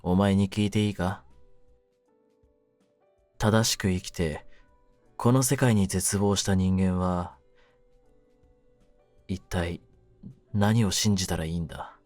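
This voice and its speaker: A man playing a jaded, domineering yet secretly tender young mafia kidnapper in an audio drama, speaking low in a rough, cool, deliberate way. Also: fairly even intonation, darkish tone, no room sound, soft background music. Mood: bitter, brooding. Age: young adult. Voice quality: low-pitched. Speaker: voice actor in a situation voice drama. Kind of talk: Japanese situation-voice audio drama addressed to the listener.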